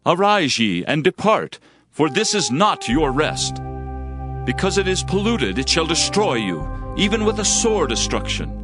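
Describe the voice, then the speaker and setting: A man's voice: fairly high pitch, sing-song, measured pace. A man playing a grave Hebrew prophet who proclaims judgment and lament in solemn, declamatory scripture phrasing for a dramatized audio Bible. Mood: stern. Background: background music.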